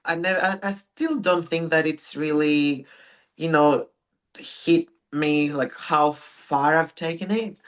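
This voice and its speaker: A young woman from Greece, a pro bodybuilder speaking relaxed and soft-spoken as a podcast guest. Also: sits low, slow. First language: Greek. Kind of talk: casual interview.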